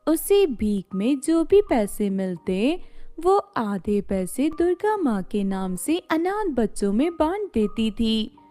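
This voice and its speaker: A woman's voice: on the high side, very animated, slow pace, dark tone, soft background music. A woman storyteller reading a scripted fairy-tale narration.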